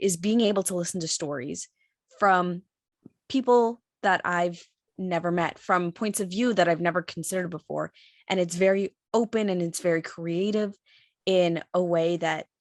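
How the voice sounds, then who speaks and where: Measured woman, slightly low, dry sound. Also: no echo. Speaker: audio drama writer and panelist. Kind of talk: considered panel discussion.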